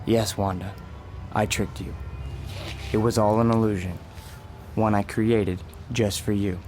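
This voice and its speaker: An actor playing Death as a calm, gentle man in a radio drama, measured, soft-spoken and quietly insistent. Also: fairly low pitch, faint music behind, lightly acted. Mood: calm, frank. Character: calm, gentle, persuasive Death in a man's form. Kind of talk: dramatic radio play acting.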